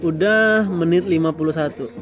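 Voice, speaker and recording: A young man from Kalimantan with a Kalimantan accent, co-hosting a podcast in relaxed, chatty talk. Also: a little high, moderate pace. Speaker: podcast co-host. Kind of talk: casual chat. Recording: busy crowd noise behind.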